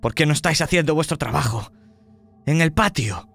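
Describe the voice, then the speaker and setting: A man's voice: on the high side, animated, a little fast, gravelly, a bit bright, pitched above the performer's own voice, exaggerated voice. A gaunt, burn-scarred old priest, put on by a man in a raised, pushed voice, snapping orders with urgency. He sounds nervous underneath the command, dragging himself along in a tabletop horror game. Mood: scolding. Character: disfigured, limping old male priest, harsh and nervous.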